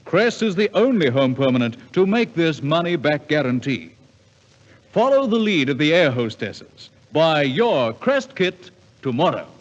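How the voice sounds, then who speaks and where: Animated male radio announcer, reverberant. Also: fairly high pitch, a little slow. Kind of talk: scripted 1950s radio advertising. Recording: dull 1950s radio recording, long reverb.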